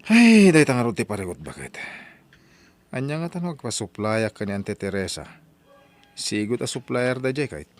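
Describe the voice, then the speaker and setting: A man playing a young laborer out of work who is earnest and animated. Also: mid-range voice, moderate pace, a little hiss or hum, close to an everyday voice. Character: young man, jobless laborer turning to farming. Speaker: lead character. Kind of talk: radio drama acting.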